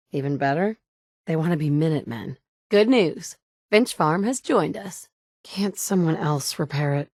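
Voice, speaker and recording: A woman's voice: on the low side, a little mellow, no room sound. A woman playing a determined mother turned hardened wasteland survivor, speaking firm, direct lines of game dialogue. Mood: matter-of-fact. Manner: firm, direct, dry and commanding. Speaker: player character voice. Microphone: studio mic, close.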